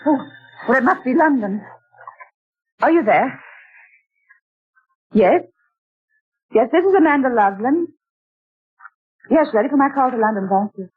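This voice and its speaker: A breathy, genteel lady in distress. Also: sits high, expressive, measured pace, long pauses, full character voice. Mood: relieved. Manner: dramatic, exclaiming. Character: genteel woman recounting a ghostly night. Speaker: radio drama heroine. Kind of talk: 1940s radio acting. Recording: tinny old radio broadcast.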